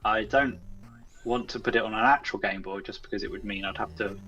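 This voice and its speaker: A man's voice: fairly low pitch, a little fast, pushed and bright, slightly rough, dull audio. A man chatting casually as an interview guest, in a modest, tentative way. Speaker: guest, indie game developer. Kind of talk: casual chat.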